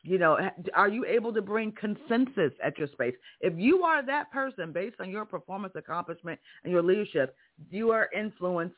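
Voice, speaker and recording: Man, strong voice, emphatic motivational host, rhetorical questions, phone-line audio. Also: high-pitched. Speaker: career podcast host. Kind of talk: motivational monologue.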